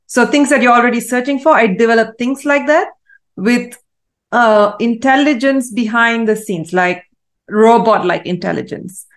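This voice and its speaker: A woman from Malaysia, a guest in an interview, answering in a thoughtful, measured way with frequent pauses and fillers. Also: fairly high pitch. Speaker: guest, AI consultant.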